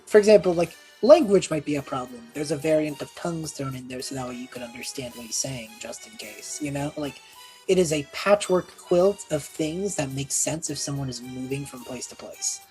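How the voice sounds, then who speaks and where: Low-pitched woman, improvising casually as a tabletop player, explanatory, faint music underneath. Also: normal speed, warm tone, poor audio. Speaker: tabletop role-playing game player. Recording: music playing behind the voice. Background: background music.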